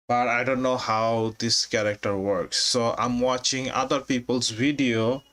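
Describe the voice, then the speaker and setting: A man from Bangladesh, chatting casually on his live stream in a halting way, with many restarts. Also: medium pitch, fairly bright tone, clean tone. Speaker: livestreamer.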